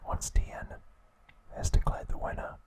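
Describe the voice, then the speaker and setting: A man narrating ASMR in a hushed, breathy whisper, in short measured phrases. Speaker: ASMR host. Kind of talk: whispered ASMR narration, recounting episode summaries.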